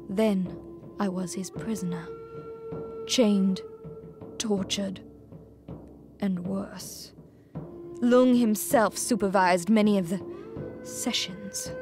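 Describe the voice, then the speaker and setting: Woman playing slow, solemn noblewoman commander. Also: mid-range voice, fairly even intonation, darkish tone, faint music behind, lightly acted. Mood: pained, vengeful. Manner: solemn, measured. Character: grave, determined noblewoman war leader with a wounded past. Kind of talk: video game cutscene acting, dramatic briefing.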